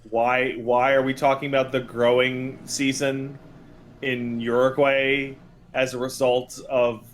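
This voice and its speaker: A man's voice: mid-range voice, fairly bright tone, a little hiss or hum. A man co-hosting a gaming podcast, chatting casually and riffing by acting out imagined reactions. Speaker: podcast co-host.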